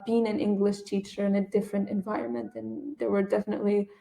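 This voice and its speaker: A woman's voice: medium pitch, flat delivery, quick pace, vocal fry, warm tone, rough recording. A woman whose first language is Arabic, a guest on a podcast, talking in an earnest, emphatic way about teaching English. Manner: earnest, emphatic, often says definitely. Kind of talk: conversational podcast interview.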